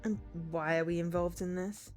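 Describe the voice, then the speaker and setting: A woman's voice: on the low side, fairly even intonation, moderate pace, soft background music, close to an everyday voice. A woman voicing a guarded, businesslike female investigator in a visual novel, questioning and matter-of-fact.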